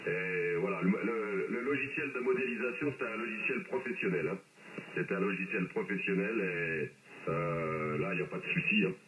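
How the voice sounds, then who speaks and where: A man's voice: low voice, normal speed, fairly bright tone. A man from eastern France chatting casually and colloquially as an amateur radio operator, heard over shortwave reception played through speakers. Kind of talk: casual ham radio contact. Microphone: radio reception re-recorded from speakers on a phone. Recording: muffled shortwave radio, hissy, roomy playback.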